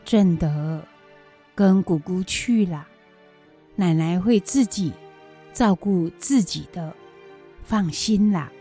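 A woman playing a slow-spoken, caring old grandmother. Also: fairly low pitch, dark tone, faint music behind. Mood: coaxing. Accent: Taiwanese Mandarin. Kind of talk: educational radio drama acting.

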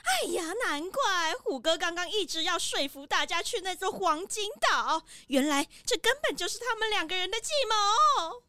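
A woman's voice: very high voice, lively intonation, normal speed, hardly any pauses, pushed and bright, dry recording, exaggerated voice. A woman playing a whiny, easily shocked cruise passenger in a children's radio drama.

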